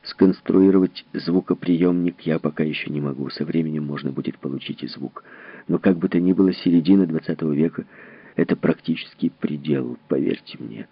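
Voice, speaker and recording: Male reader doing an impatient young physicist, low gravelly voice, dry lo-fi. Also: fairly quick, dry recording, exaggerated voice. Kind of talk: audiobook reading. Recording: dull lo-fi recording.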